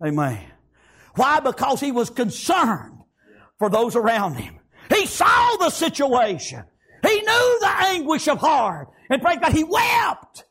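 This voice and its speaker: A man preaching a sermon, his voice riding very high and swinging widely in pitch. He shouts in rhythmic bursts, punctuating nearly every phrase with "praise God" and "amen.". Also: wildly swooping pitch, unhurried.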